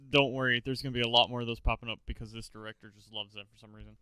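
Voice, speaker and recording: Fast, very bright, crisp-voiced man. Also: mid-range voice, steady tone, no echo. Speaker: podcast co-host. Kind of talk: casual film review chat.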